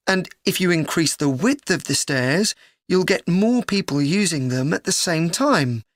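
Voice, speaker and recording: A woman's voice: on the low side, no echo, clean studio sound. A woman playing an earnest university student in a scripted educational dialogue. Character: earnest university student discussing a joint presentation.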